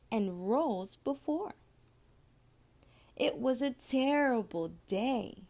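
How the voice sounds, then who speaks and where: A woman reading a children's story aloud, with deliberate, expressive phrasing and drawn-out words. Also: on the high side. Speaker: storybook reader.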